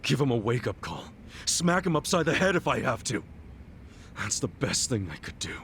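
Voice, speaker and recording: An actor playing a loyal, earnest middle-aged ex-yakuza hero in a video game, talking in a blunt, heartfelt, streetwise way. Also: male voice, medium pitch, sing-song, moderate pace, a bit bright, slight background noise. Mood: earnest, resolute. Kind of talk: video game cutscene dub acting.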